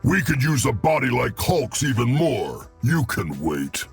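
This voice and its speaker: A giant, impatient brute in a video game. The voice is extremely husky and full of gravel. He speaks bluntly and belligerently over faint music. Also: medium pitch, very animated, a little slow, heavily acted. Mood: gruff, scornful. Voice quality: gravelly, rough. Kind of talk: video game character acting.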